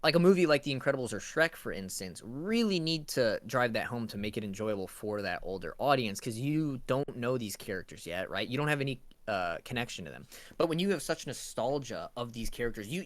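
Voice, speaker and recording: A man's voice: medium pitch, no room sound. A man co-hosting a casual review discussion, explaining his points and often checking in with the listener. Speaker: co-host asking questions.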